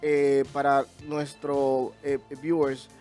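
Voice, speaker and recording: A man hosting a community talk show, switching between Spanish and English with halting restarts. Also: mid-range voice, completely flat delivery, a little mellow, faint music behind. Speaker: show host. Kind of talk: bilingual interview hosting.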